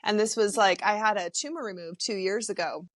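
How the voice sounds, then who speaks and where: A woman's voice: medium pitch, no echo. A woman hosting a podcast, chatting in an effusive, rambling way. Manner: effusive, rambling, quick to gush. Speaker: podcast host. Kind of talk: casual interview chat.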